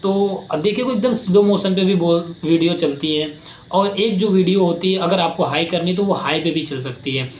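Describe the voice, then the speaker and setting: Man, high pitch, loose repetitive explainer style, faint room noise behind him. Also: normal speed. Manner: rambling, repetitive, many restarts. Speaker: YouTube creator teaching voice skills. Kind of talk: casual explainer talk. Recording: roomy, slightly dull with faint ambience.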